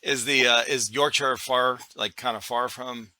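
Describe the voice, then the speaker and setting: A man hosts a relaxed podcast conversation, easygoing and agreeable. His voice is bright and crisp-edged, recorded close and dry without room sound. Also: medium pitch, unhurried, dry recording. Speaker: podcast host. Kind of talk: casual interview.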